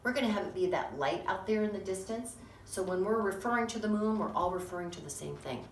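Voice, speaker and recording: Casting: animated woman teacher, expressive and lively, in an echoing classroom, mic far away, audible noise behind. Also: medium pitch, fast. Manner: expressive, animated, with hushed emphasis. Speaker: teacher and narrator. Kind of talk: classroom instruction and storybook reading.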